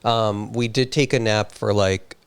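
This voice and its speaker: A man's voice: on the low side, steady tone, a little slow, clean tone, no room sound. A man co-hosting a live stream, rambling casually with playful teasing. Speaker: streamer co-host.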